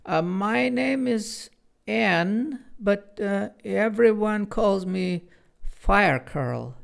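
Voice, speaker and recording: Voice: mid-range voice, expressive, very slow, vocal fry, pitched above the performer's own voice, exaggerated voice. Anne, a storyteller doing a feisty young girl.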